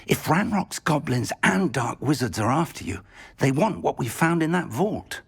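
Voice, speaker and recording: An actor playing an elderly wizard professor in a game, raspy, earnest and grave. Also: male voice, medium pitch, sing-song, moderate pace, heavily acted. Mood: grave alarm. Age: older. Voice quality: raspy, aged.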